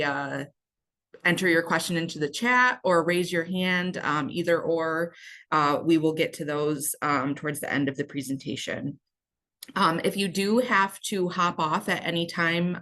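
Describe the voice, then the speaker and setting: A woman's voice: sits low, a bit bright. A woman co-hosting a webinar over a video call. She gives housekeeping notes in a hesitant way, with many fillers. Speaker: webinar co-host. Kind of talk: webinar introduction.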